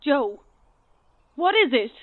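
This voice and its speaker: An actress in a horror audio drama playing a worried, insistent friend, speaking firmly and urgently through a telephone filter. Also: female voice, sits high, sing-song, slow, exaggerated voice. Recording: thin, band-limited phone line.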